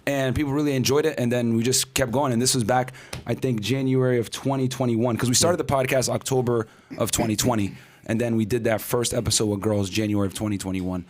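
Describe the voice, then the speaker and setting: A man in his thirties telling his story as a podcast guest, in casual, rapid talk full of fillers and acted-out quotes. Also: mid-range voice, steady tone. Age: 30s.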